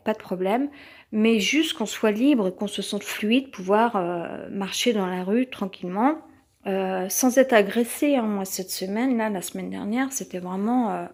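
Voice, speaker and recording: Hesitant French woman with a cold. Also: medium pitch. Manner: hesitant, many fillers and restarts; tense. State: has a cold. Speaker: vlogger. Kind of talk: rambling personal monologue.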